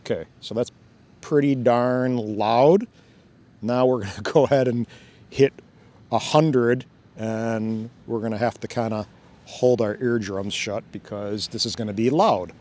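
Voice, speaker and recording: Guy talking thru a gear demo outside, thinking out loud, laughing a lot, mid pitch, faint traffic noise. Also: fairly even intonation, measured pace. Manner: chatty, thinks aloud, laughs often. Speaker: drone channel host. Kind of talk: casual hands-on demo narration. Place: outdoors by a fountain, cold weather.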